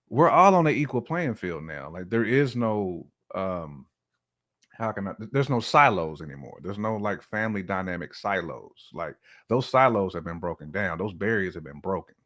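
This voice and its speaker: American male, low voice, colloquial and informal, conversational podcast talk. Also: fairly bright tone. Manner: colloquial, informal, acts out quoted remarks. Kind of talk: casual conversational discussion.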